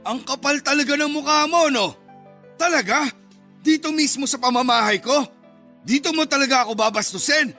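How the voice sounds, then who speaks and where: An unforgiving older father in a radio drama rages with indignation. His voice is pitched very high and cuts sharply, full of threat and contempt. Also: normal speed, pushed and bright, soft background music, exaggerated voice. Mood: furious, threatening. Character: angry, abusive, unforgiving father.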